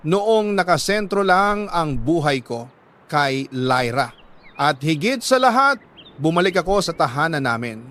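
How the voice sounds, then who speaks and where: Filipino guy narrating a heartfelt love letter story, really slow and earnest, some noise behind him. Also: fairly high pitch, a bit bright. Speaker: radio-style storyteller reading a listener's letter. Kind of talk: scripted dramatic first-person love story narration.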